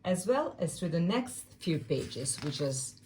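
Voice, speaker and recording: A woman's voice: on the low side, sing-song, measured pace, dark tone, a little hiss or hum. A woman from Serbia with a Serbian accent, speaking English as a second language while explaining a quilt pattern step by step, now and then searching for words. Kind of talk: sew-along tutorial.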